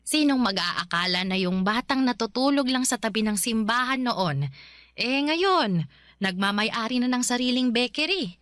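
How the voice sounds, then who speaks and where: A woman playing a proud, warm-hearted elderly mother in a radio drama, with a Filipino accent and fond, exclaiming delivery. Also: a little high, lively intonation, normal speed, dry recording, clean studio sound. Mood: proud, touched. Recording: music under the dialogue.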